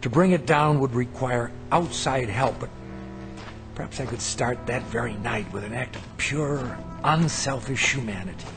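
A man's voice: medium pitch, moderate pace, close to an everyday voice. An actor playing an earnest, uneasy, skeptical man in a radio drama, in dialogue and first-person narration. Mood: brooding. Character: uneasy, skeptical male traveler telling his eerie tale. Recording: music bed behind the voice.

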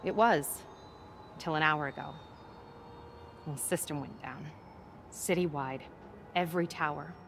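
A woman's voice: deep voice, unhurried, vocal fry, pauses often, background noise. An actress playing a tough, no-nonsense woman police captain with a dry, curt manner. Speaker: police officer.

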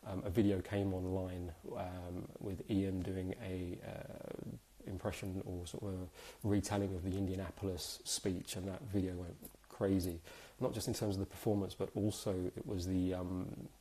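A man in his thirties with a very low voice, a bit hoarse from a cold, hosting a live stream from a small room. He talks chattily and keeps spirits up, with plenty of ums along the way. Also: flat delivery, normal speed, few pauses, dark tone. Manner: chatty, upbeat, many fillers. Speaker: host.

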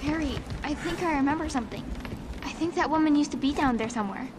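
An actress playing a gentle, loyal little girl in a dramatic video game. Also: sits high, fast talker, noisy background, close to an everyday voice. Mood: earnest.

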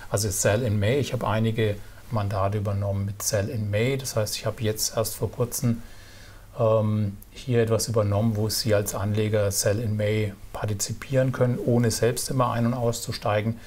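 A middle-aged man giving casual stock market commentary to camera, relaxed and halting, with many fillers and restarts. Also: lowish voice. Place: indoor studio with virtual backdrop.

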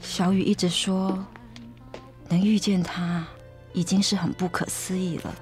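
A woman's voice: fairly low pitch, flat delivery, a little slow, natural voice. Sorrowful middle-aged mother played by a woman. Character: grieving middle-aged mother mourning her child. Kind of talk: radio drama acting. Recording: radio drama with loud music underneath.

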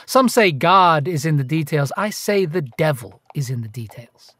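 A self-righteous male detective in a radio drama. His voice sits on the high side and is recorded dry. He asks clipped, insinuating questions and keeps needling. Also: lively intonation, normal speed, a bit bright, no room sound. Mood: needling, accusing. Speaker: actor in a radio play.

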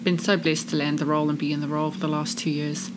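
A woman's voice: low-pitched, flat delivery, fast talker, background noise. A woman from South Africa with an English-speaking South African accent, a charity chief executive telling her story earnestly in an interview. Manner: warm, earnest, expansive storytelling. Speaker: charity CEO, guest. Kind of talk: casual interview chat.

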